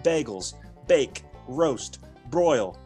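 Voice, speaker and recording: A man's voice: mid-range voice, expressive, extremely slow, a little mellow, soft background music. A man playing a hokey, overeager salesman dad in a parody ad skit, with folksy, emphatic patter. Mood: hard-selling.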